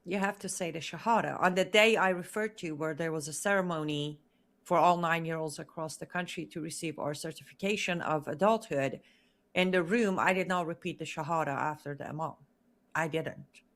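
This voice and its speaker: A woman's voice: sits low, normal speed, fairly bright tone. A woman whose first language is Farsi, a guest telling her own story in an interview with earnest, emphatic speech that repeats words for stress. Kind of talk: interview testimony.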